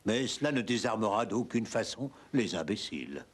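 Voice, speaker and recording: Husky, animated male narrator. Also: on the low side, normal speed. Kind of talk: scripted documentary narration.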